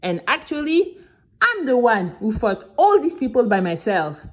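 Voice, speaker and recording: A woman's voice: fairly high pitch, sing-song, a little slow. A woman voicing a boastful, self-important man who tells fibs in an audio story. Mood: boastful, accusing. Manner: boastful, declarative.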